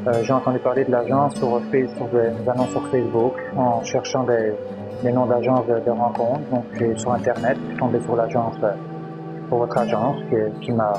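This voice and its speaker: A man from Belgium giving a testimonial as an agency client, halting with frequent restarts. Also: mid-range voice, little pitch movement, loud music behind the voice, rough recording. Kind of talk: testimonial interview.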